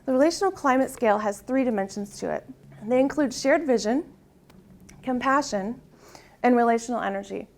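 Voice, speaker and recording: Soft-spoken woman presenting research. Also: fairly high pitch, a little fast. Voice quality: soft-spoken, tries to project. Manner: uses frequent rhetorical "right?" tags. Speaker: conference presenter. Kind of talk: academic presentation with audience exercise.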